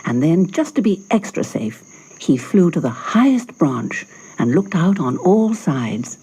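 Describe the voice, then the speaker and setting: A woman reading a fairy tale as storyteller, in expressive scripted narration. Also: fairly low pitch, measured pace, husky, rough recording. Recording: loud music behind the narration.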